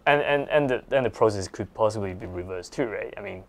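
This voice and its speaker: Male host, 40s, lowish pitch. Casual, agreeable interview chat in an office, repeats words. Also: fairly quick, creaky. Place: small office, indoors.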